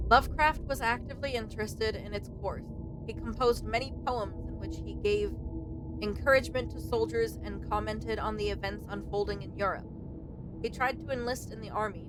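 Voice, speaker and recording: A woman streaming a let's play, reading game text aloud and commenting in a casual, informal way. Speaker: streamer playing and reading a visual novel. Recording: clear rumbling background noise.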